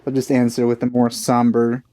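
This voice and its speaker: A man hosting a let's play, giving casual game commentary in a musing, halting way. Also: mid-range voice, completely flat delivery, warm tone. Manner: musing, hesitant, halting phrasing. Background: game music.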